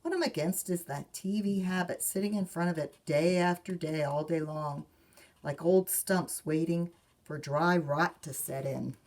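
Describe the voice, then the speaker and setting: Lady reading as a mama bear in a kids book, no-nonsense, emphatic, bit creaky. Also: low voice, unhurried, a little mellow. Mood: earnest. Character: mother bear, firm, reasonable, homespun parent.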